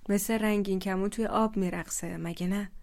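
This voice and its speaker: A woman's voice: medium pitch, steady tone, vocal fry, darkish tone, natural voice. A woman voicing a young village man, an educated engineer, who talks in a playful, teasing way.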